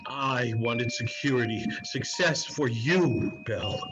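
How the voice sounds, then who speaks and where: A man's voice: medium pitch, slow, dull audio, background noise, natural voice. A man playing an anguished, regretful old miser in a melodramatic, pleading manner for a dramatic story reading. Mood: wistful.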